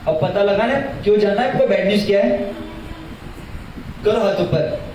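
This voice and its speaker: A young man with a high voice gives a lively religious talk to an audience. He asks questions and repeats his key phrases for emphasis. He sounds far from the mic, and his voice rings through a very echoey hall. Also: normal speed, a little hiss or hum. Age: young adult. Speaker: religious teacher. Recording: very echoey hall, heard from afar.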